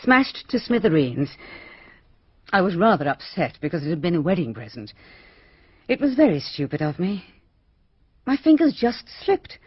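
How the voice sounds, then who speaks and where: An actress playing a genteel, middle-aged British village hostess in a radio drama, polite and guarded. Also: female voice, fairly low pitch, big pitch swings, moderate pace, pauses often, breathy edge. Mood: guarded, puzzled. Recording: slightly muffled, roomy radio drama recording.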